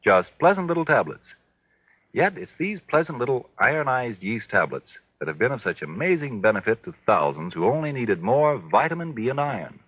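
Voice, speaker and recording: A man's voice: medium pitch, very animated, a little fast, slightly rough, dry recording. A man announcing in 1940s radio style, formal and emphatic, with a polished sales delivery. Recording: muffled old radio recording.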